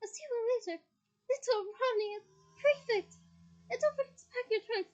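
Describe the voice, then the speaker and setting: A woman's voice: very high-pitched, moderate pace, lo-fi sound, pitched above the performer's own voice. A woman voicing a fussy, doting middle-aged mother who gushes breathlessly. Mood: gushing, breathless. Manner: breathless, gushing, fretful.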